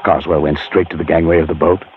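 Haunted English storyteller, low voice, grave and shaken, 1940s radio horror, tinny narrow-band sound. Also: male voice, level tone, quick pace, very noisy. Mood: haunted, reflective. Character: haunted Englishman narrating his ordeal, shaken but relieved.

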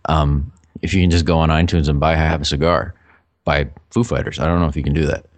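A middle-aged man with a low voice, co-hosting a casual podcast chat in a chatty, rambling way. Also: fairly even intonation, brisk, dry recording. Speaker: podcast co-host.

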